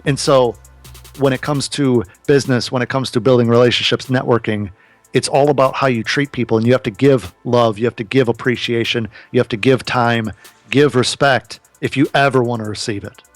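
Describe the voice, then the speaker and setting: A man's voice: mid-range voice, fairly even intonation, brisk, no room sound, faint music behind. A man in his thirties, a podcast guest, talking earnestly and casually about business, swearing now and then. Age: 30s.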